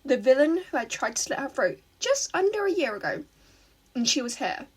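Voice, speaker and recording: A woman's voice: sits high, big pitch swings, brisk, slightly rough. A woman reading fan fiction aloud as a scripted story narration.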